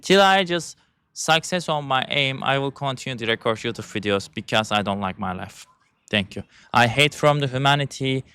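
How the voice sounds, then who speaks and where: A man, talking in a bitter, halting vlog monologue with frequent restarts. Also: medium pitch, animated, sharp tone, clear, resonant tone. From Turkey. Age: 20s. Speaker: YouTuber.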